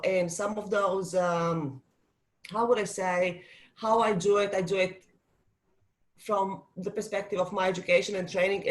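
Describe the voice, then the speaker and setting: A woman speaks over a video call as a guest coach, earnest and searching for words as she goes. Her voice sits at an ordinary middle pitch. She talks in a motivational, personal way. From Serbia. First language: Serbian. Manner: earnest, with frequent ums and restarts. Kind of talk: motivational webinar talk.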